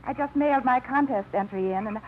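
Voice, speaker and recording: An actress playing a lively single small-town woman in a 1950s radio drama, with a bright, spirited, teasing manner. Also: high-pitched, a little fast, close to an everyday voice. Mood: restless, playful. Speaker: lead actress. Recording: muffled old radio broadcast, faint hiss.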